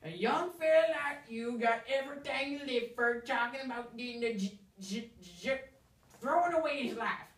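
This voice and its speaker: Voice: medium pitch, moderate pace, a bit bright, echoey room, far from the mic, pitched above the performer's own voice, natural voice. A performer voicing a folksy, blunt backwoods man with a rustic drawl, in a dramatic story reading. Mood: alarmed, urging. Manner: folksy drawl, rustic dropped-word speech.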